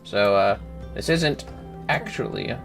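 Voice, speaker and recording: A man's voice: lowish voice, expressive, slow pace, creaky, fairly bright tone. A man hosting a casual game livestream, chatting in a playful, joking way. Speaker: livestream host. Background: game music.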